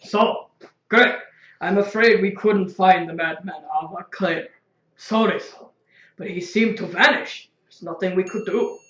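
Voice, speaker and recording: A woman's voice: mid-range voice, measured pace, fairly bright tone, highs cut off, natural voice. Brash scheming woman, acted, in roomy sound. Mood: breezy. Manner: flippant, slangy, informal. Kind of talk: audio drama acting.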